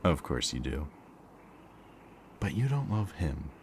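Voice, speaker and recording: A man playing a smug, taunting kidnapper villain in an audio roleplay, with a mocking, theatrically drawn-out delivery. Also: a bass voice, very animated, a little hiss or hum, exaggerated voice. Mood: taunting, gleeful. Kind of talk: scripted audio roleplay, ASMR.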